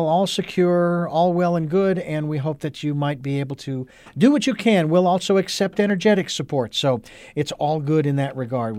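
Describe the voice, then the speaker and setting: Male talk-radio host, slightly high-pitched, earnest and rambling, close dry mic. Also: darkish tone, no room sound. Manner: rambling, many ums and uhs, earnest. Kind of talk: casual talk radio chat.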